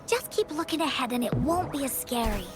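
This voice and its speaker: A woman's voice: high voice, expressive, brisk, music in the background. A woman voicing a bubbly, brave little girl adventurer in an anime-style video game. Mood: cheerful, reassuring.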